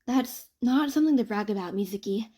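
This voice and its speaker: A woman voicing a calm, stoic young student friend with a composed, gently caring manner. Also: a little high, brisk. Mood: calm, gentle. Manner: composed, restrained.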